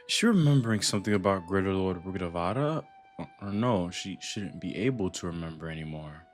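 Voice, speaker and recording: A young man reacting casually to gameplay as a streamer, using slang and often repeating himself haltingly. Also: low voice, lively intonation, faint music behind. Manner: slangy, informal, halting repetitions. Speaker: gaming streamer.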